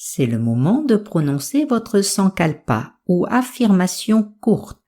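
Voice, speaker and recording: A woman with a soft, breathy voice calmly guiding a yoga nidra meditation in an unhurried, soothing way. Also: mid-range voice. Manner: calm, soothing, unhurried instruction. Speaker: meditation guide.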